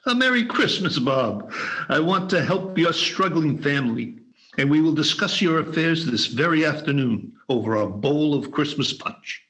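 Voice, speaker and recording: A bit higher than most men, this is a stiff old miser turned generous, as a man reads him. His gruffness slips into a humble, warm manner. He comes through a video call for an amateur radio play. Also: animated, moderate pace. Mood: jovial. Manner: gruff growl put on, then warm and humble.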